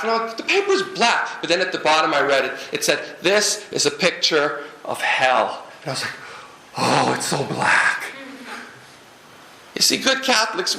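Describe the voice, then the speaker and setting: A man from Guyana preaching a sermon in a lively, humorous way, with jokes and asides to the congregation. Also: sits high, roomy sound, rough recording. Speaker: pastor.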